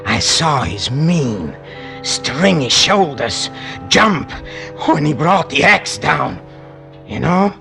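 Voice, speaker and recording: An actor playing a bitter, cold-blooded hired killer who confesses in a brooding, halting way, in vintage radio drama style. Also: male voice, a little high, very animated, creaky, bright, forceful tone, full character voice. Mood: brooding hatred. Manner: brooding, halting, repeats himself with menace. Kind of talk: 1940s-50s radio drama acting. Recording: old radio broadcast with music underneath.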